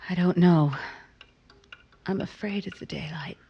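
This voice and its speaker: An actress playing a guarded, bitterly proud lonely woman in a romantic 1950s-style radio drama, with measured, pointed, probing delivery. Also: lowish voice, creaky, husky, muffled recording, exaggerated voice. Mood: guarded, uneasy.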